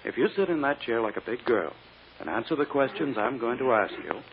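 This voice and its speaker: An actor playing a controlling prosecutor who talks down to a child, smooth and insistent, in a radio drama. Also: male voice, mid-range voice, sing-song, brisk. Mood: coaxing. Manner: patronizing, insistent. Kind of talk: 1950s radio drama acting. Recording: dull noisy old radio recording.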